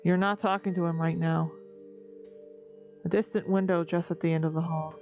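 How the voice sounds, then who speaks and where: A woman giving scripted second-person narration of a horror story, measured and theatrical. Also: on the low side, steady tone, a little mellow. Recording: dull recording with background music.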